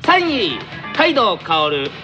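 A man's voice: high voice, wildly swooping pitch, drawn-out words, over loud music. A man hosting a radio show with casual, playful, teasing banter.

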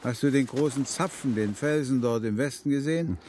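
An actor playing a calm, dignified Comanche man in a radio drama, measured and quietly wry. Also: mid-range voice, a little hiss or hum, close to an everyday voice.

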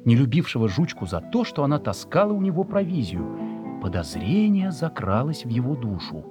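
A man's voice: mid-range voice, big pitch swings, brisk, over music. A man reading a literary story as a narrator, expressively and with emotion, in a scripted dramatic style.

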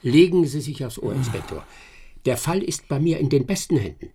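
An actor playing a proud, sharp-witted English master detective in a radio drama, self-assured and lecturing. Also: male voice, medium pitch, animated, moderate pace, natural voice. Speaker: detective Herlock Sholmes.

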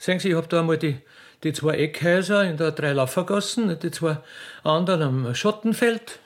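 A male actor plays a mature Viennese widow of a butcher, rich, blunt and coquettish. The voice sits a little high for a man, with folksy, halting turns and an insistent wooing manner, in theatrical comic radio-play style. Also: lightly acted. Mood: wheedling, boastful.

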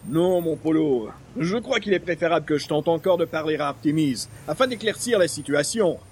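An actor playing a pompous, easily indignant columnist with formal, theatrical speech in an old-time style radio comedy. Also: male voice, a little high, expressive, a little fast, slight background noise, natural voice. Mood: dignified.

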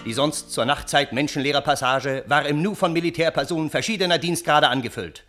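An actor playing a wry, wordy gentleman who narrates a German radio play in measured, ornate, ironic phrases. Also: on the high side, over loud music, lightly acted. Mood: dramatic narration. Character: wry, wordy storytelling uncle, Russian gentleman, scheming and earnest.

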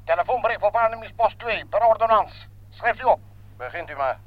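Radio play role: male army officer, commanding, highish voice, dry muffled recording. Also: lively intonation, a little fast, dry recording. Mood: urgent, dictating. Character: commanding male officer dictating military orders. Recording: dull lo-fi old radio play.

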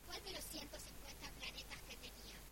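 A woman's voice: sits high, fairly quick, lightly acted. A woman playing an inquisitive, pedantic little girl who keeps questioning in a comedy sketch. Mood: nagging, curious. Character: nagging, inquisitive little girl hearing a bedtime-style story. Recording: very noisy amateur recording.

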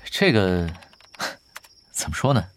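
A man playing an easygoing, teasing pro gamer and team leader in an audio drama, talking unhurriedly with quiet confidence. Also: low-pitched, very animated, slow pace, clean tone, exaggerated voice. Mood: wry, easygoing. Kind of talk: Chinese audio drama acting.

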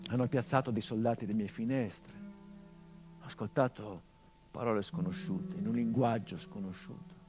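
An actor playing a hardened, grieving middle-aged son, delivering a measured theatrical monologue that drops to hushed tones. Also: male voice, fairly low pitch, steady tone, over music, lightly acted. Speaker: stage actor.

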